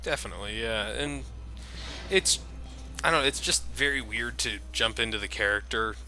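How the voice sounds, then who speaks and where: A man's voice: medium pitch, moderate pace. A man giving casual, conversational gameplay commentary as he plays, rambling a little as the host of a let's play. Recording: loud game noise behind voice. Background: video game action sounds.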